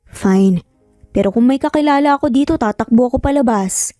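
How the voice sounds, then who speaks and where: A woman's voice: on the high side, brisk, mellow, no room sound, clean studio sound, faint music behind, natural voice. A woman voicing a reluctant, easily flustered young woman falling in love in a narrated romance story. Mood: grudging.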